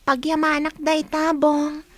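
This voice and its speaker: A woman's voice: sits high, monotone, measured pace, no echo. A woman acting as an earnest, dutiful teenage eldest sister in a radio drama. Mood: earnest, grateful.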